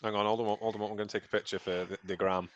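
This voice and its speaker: A man's voice: lowish voice, flat delivery, rapid-fire, no room sound, lo-fi sound. An English man co-hosting a casual podcast chat, a bit nasal from a cold, joking around. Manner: joking, chatty. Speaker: podcast co-host.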